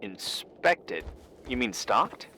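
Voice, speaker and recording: A man's voice: mid-range voice, sing-song, very slow, heavily acted. Awkward, hesitant man, noisy background. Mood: helpful, joking. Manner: hesitant, rambling, gently joking. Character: awkward, absent-minded, eager-to-help workaholic man on the phone. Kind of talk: naturalistic video game voice acting.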